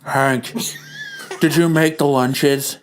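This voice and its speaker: A man's voice: mid-range voice, steady tone, slow, voice pushed down, close to an everyday voice. A man voicing a nagging comic-book wife as a boastful parody of a bombastic politician, stretching out emphatic superlatives. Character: boastful nagging wife, spoken as a Donald Trump-style parody. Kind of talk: comic dramatic reading.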